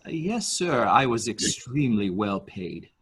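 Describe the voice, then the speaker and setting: Man acting a primly servile manservant, lively hesitant delivery. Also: lowish voice, a little slow, a little hiss or hum. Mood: deferential, careful. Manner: hesitant, obsequious, formal. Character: deferential male nurse-attendant to a rich invalid, primly servile. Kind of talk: dramatic reading of a murder mystery.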